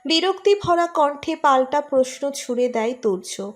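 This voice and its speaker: Female narrator for scripted romance audiobook; high-pitched voice, storytelling delivery. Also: animated, moderate pace, soft background music.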